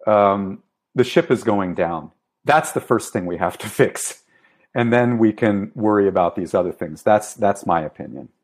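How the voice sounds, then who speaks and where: Male professor guest, middle-aged, low voice, measured and deliberate delivery. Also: expressive. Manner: measured, deliberate with some stammering. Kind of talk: interview answers.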